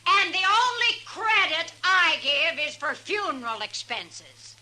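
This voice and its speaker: An actress playing a big, brash, bossy saloon owner in a 1950s western radio drama, booming out folksy frontier slang. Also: female voice, sing-song, slow pace, pushed and bright, exaggerated voice. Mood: rowdy, threatening.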